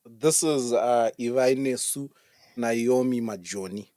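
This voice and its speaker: A man's voice: medium pitch, slow pace, dark tone, no room sound, studio quality. A Zimbabwean man co-hosting a podcast, chatting casually in a mix of Shona and English and repeating phrases for emphasis. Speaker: podcast co-host.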